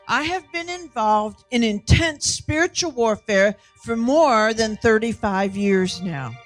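Woman, sixties, high voice, sermon, emphatic delivery, music behind. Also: animated, slow pace. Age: 60s. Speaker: preacher. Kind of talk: sermon delivered in short phrases for interpreting.

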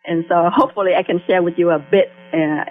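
A middle-aged woman from Vietnam with a Vietnamese accent, a guest sharing her faith testimony in halting speech that restarts phrases. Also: fairly low pitch, fairly even intonation. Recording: tinny phone line with faint music.

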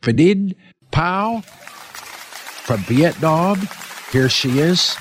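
A man's voice: fairly high pitch, expressive, very slow, background noise. A man hosting a casual interview, chatty and chuckling as he introduces and questions his guest. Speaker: host.

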